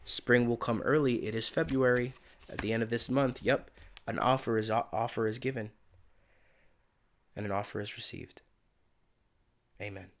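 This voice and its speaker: A man giving a casual spiritual tarot reading in a soft, meandering, reflective way, his voice tired and giving out. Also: medium pitch, fairly even intonation, moderate pace, pauses often. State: voice giving out, tired by day's end. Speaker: tarot reader and channeler.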